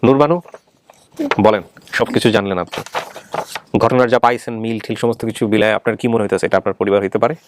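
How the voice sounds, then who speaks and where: A man's voice: fairly low pitch, steady tone, quick pace, pauses often, background noise. A man hosting a family reunion show, questioning methodically and repeating points to confirm them. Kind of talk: probing interview, reading out and summarizing testimony.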